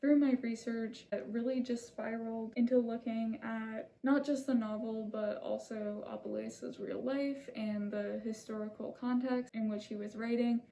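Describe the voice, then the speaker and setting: Soft-spoken woman reading a video essay. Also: a little high, steady tone, normal speed, hardly any pauses, slight background noise. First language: not Italian. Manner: soft-spoken, apologetic, earnest. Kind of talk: scripted video essay narration. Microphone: camera mic, seated holding a script.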